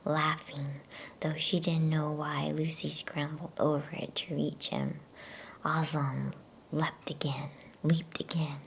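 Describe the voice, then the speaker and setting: Slow, whispering woman reading bedtime stories. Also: low voice, flat delivery, creaky. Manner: hushed, soothing, unhurried. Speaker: ASMR storyteller and channel host. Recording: faint background noise.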